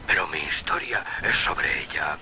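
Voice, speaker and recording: An actor playing a chatty, conniving frustrated writer in a video game audio diary, sly in manner. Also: male voice, rumbling low, animated, measured pace, pushed and bright, slight background noise, full character voice. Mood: smug, conspiratorial.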